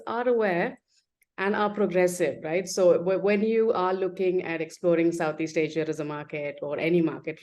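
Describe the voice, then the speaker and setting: A woman's voice: on the low side, darkish tone. A woman moderating a panel conversationally, affirming and summing up what speakers say.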